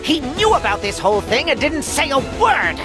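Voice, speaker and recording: A woman voicing a young cookie girl adventurer in an animated game cutscene, exclamatory and full of questions, easily bewildered or indignant. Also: high voice, normal speed. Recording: music behind the voice.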